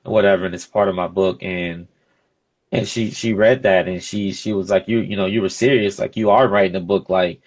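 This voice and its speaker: A man's voice: sits low, steady tone, fairly quick. A man in his early thirties talking casually as a podcast host, informal and often checking in with "you know" phrases. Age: early 30s. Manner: informal, peppered with "you know what I'm saying". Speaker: podcast host being interviewed. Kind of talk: casual conversational interview talk.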